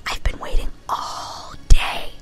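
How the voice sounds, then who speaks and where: A woman's voice: takes it slowly, fairly bright tone. A woman voicing a gleeful, overexcited young female cartoon pony in an ASMR-style story reading. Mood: excited, gleeful. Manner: bouncy, gushing.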